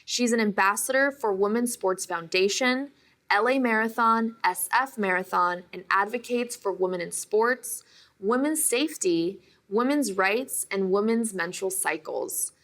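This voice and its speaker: A woman hosting a podcast interview, reading a scripted intro and then asking questions with lively enthusiasm. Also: medium pitch, measured pace. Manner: warm and enthusiastic, praising the guest. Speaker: podcast host.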